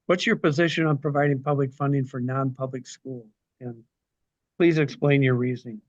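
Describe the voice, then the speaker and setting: A man's voice: medium pitch, fairly even intonation, moderate pace, dry recording. Older man moderating, dull dry audio. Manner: reads questions aloud with frequent ums and uhs. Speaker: forum moderator. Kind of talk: reading prepared questions. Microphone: video call.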